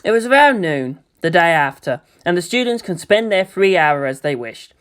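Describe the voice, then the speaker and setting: A man's voice: sits high, sing-song, normal speed, a bit bright, clean tone. A man reading scripted fiction aloud as a storyteller, in the style of an audiobook narrator.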